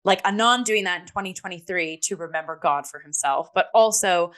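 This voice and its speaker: Bright-voiced woman talking theatre. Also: on the low side, a little fast. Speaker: theatre review podcast co-host. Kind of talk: casual discussion review.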